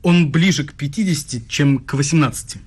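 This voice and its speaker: Jealous, sardonic young man, husky. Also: on the high side, brisk, darkish tone. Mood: mocking. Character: jealous young male student, sardonic and needling. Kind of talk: radio play acting.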